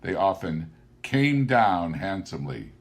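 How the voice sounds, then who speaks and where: Slow, deliberate man narrating a classic tale. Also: low voice, big pitch swings. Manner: deliberate, theatrical, emphatic phrasing. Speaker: audiobook narrator.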